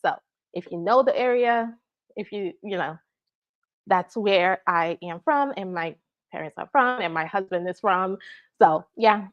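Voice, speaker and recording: Woman, from Nigeria, immigration attorney, live Q&A, mid-pitched voice, checks in often, slightly muffled audio. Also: lively intonation, measured pace. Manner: explanatory, frequent "right?" and "all right?" check-ins. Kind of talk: live Q&A answering viewer questions.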